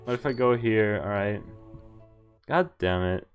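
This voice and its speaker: A man's voice: fairly low pitch, unhurried. A man streaming a game, reading visual novel text aloud and commenting in a casual, sweary way. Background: faint game music.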